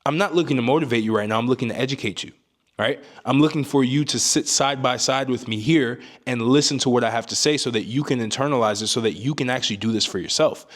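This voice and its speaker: Deep voiced young guy, calm and emphatic, youtube advice monologue, keeps saying right?. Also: fast talker. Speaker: self-improvement YouTuber. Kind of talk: casual advice monologue to camera.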